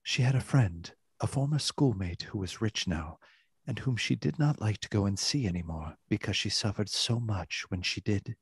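A man acting as the solemn narrator of a gothic radio play, measured and formal. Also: lowish voice, lively intonation, slightly rough, no echo, heavily acted. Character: solemn storyteller of a gothic tale. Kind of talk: dramatic literary narration. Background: music.

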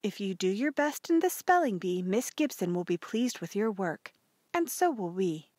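A woman voicing a practical, kindly farm mother with a gentle, matter-of-fact manner in an audiobook. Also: mid-range voice, big pitch swings, moderate pace, no room sound, studio quality. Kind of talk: audiobook character voice.

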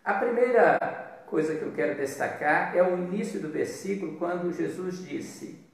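A man in his fifties with a Brazilian accent, preaching a devotional in a measured, deliberate way with pauses. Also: sits high. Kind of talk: devotional sermon with Bible reading. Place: small bare hard-walled room indoors. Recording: very echoey room, distant mic.

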